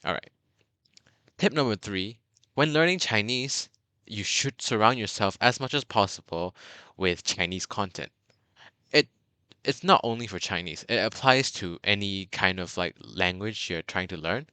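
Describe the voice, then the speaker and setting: A young man from Burma talks through a beginner's lesson in a low, bright and crisp voice, dotted with fillers and restarts. The sound is dry and close, with no room around it. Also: lively intonation, unhurried, no room sound. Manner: chatty, many fillers and restarts. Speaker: language tutor. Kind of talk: casual video lesson.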